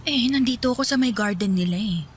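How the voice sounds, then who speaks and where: A woman's voice: on the high side, quick pace, background noise, close to an everyday voice. An actress playing an older woman in a radio drama who feels out of place among young friends, chatting in colloquial slang. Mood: bored, gossipy. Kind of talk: Tagalog radio drama dialogue. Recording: music bed behind the voice.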